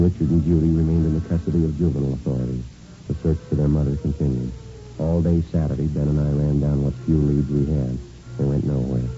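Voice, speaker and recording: An actor playing a stoic American police detective, narrating his case in a clipped, deadpan, matter-of-fact radio drama style. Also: a bass voice. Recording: dull vintage radio recording, music behind.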